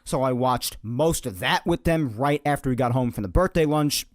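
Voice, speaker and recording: A young man hosting a solo sports podcast, energetic and rambling, his voice slightly hoarse. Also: mid-range voice, moderate pace, no room sound, clean studio sound. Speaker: podcast host.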